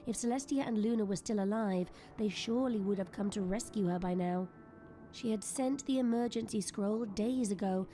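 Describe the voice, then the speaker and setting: A woman reading scripted, dramatic story narration as the narrator of an audio drama. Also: mid-range voice. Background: soft music.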